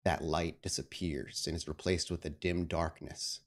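A man's voice: rumbling low, steady tone, moderate pace. A man speaking as host and game master of a tabletop roleplaying show, with an informal, enthusiastic manner. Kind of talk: casual announcements, then narrating a tabletop game.

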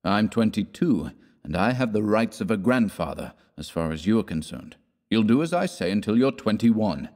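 A man's voice: lowish voice, very animated, moderate pace, darkish tone. A man voicing a generous, bossy young man in a storybook, insistent and fondly exasperated like an older brother. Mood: fond, commanding. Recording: long reverberant room echo.

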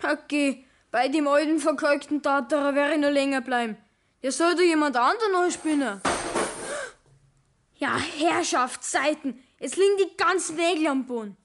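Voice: high voice, normal speed, a bit bright, slight background noise. A young performer playing a cheeky, sullen teenage apprentice boy with a Bavarian accent in a 1960s radio play, defiant and grumbling. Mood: grumbling, boastful.

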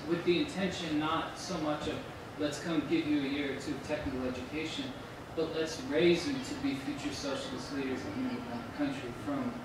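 Higher-voiced man in a very echoey room. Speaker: audience member asking a question. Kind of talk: conversational question from the floor. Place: indoor lecture room. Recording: very echoey, noisy room, distant camera mic.

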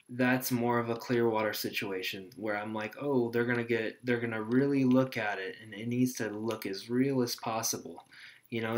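A young man, a fishing guide, explaining gear to a webcam at his desk in a small room, in a relaxed, rambling way with many fillers. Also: medium pitch. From Texas. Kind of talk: casual tutorial to camera.

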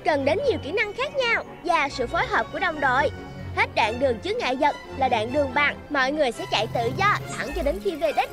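An eager, clever small kid in a kids' cartoon. The voice is extremely high and brightly childlike in manner, explaining briskly over music. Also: female voice.

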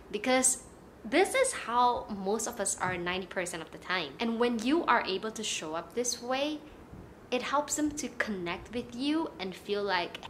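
Young malaysian woman chatting to her camera at home, animated, hands going, faint rumble behind her. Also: low-quality recording. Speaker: content creator. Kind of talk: casual talking-head vlog advice. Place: small apartment living room, indoors.

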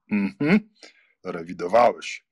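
A man's voice: deep voice, lively intonation, darkish tone, slightly rough, full character voice. A man playing a sardonic aristocratic clubman in a dramatized audiobook, offhand and drawling. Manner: slow, offhand muttering out of the side of the mouth.

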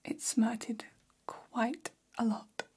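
A woman playing a gossipy, attentive female vampire hairstylist in a gentle, unhurried, breathy whisper for an ASMR roleplay. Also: on the high side, flat delivery, exaggerated voice. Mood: gossipy, confiding. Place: small decorated room, indoors.

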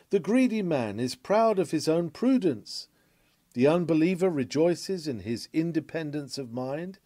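Mellow-voiced man reading an old sermon. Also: fairly high pitch, no room sound. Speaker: reader of a classic sermon. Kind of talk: solemn scripted sermon reading.

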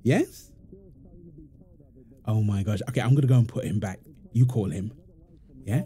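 A man playing a doting, playful young husband and proud father in a wholesome audio roleplay, soft and affectionately teasing. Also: fairly low pitch, measured pace, close to an everyday voice. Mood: excited, tender.

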